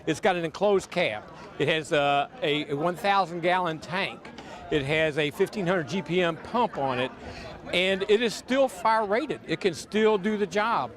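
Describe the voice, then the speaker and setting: A man's voice: a little high, normal speed. A man who is a fire department official, speaking proudly and plainly in an on-site interview outdoors, with some restarts. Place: outdoors at a high school. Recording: crowd noise outdoors.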